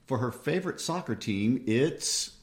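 A man hosting a casual book discussion, talking conversationally with light jokes and quick self-corrections. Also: mid-range voice, lively intonation. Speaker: podcast host.

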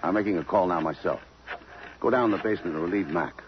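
Laconic male cop, acted, low voice, commanding, 1950s radio play with dull, slightly noisy sound. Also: quick pace, natural voice. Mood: businesslike. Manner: terse, dry, commanding. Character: tough, laconic male homicide detective. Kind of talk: 1950s radio drama acting.

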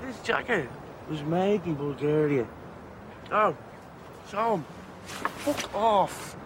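A man's voice: sits high, lively intonation, takes it slowly. An actor playing a scornful, needling Irish man in a film, with an offhand, sweary way of talking and an Irish accent. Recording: film clip outdoors with traffic noise.

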